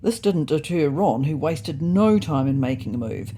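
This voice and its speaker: A woman narrating a storybook diary as a fussy, indignant male house cat, in a wry, prim, deadpan manner. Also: deep voice, moderate pace, slight background noise. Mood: wry, matter-of-fact. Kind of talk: first-person storybook narration.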